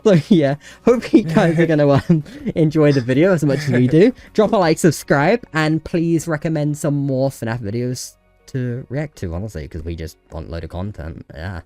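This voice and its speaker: A man's voice: a little high, animated, normal speed, mellow, faint music behind. A man hosting a reaction channel in casual, slangy, sweary chat.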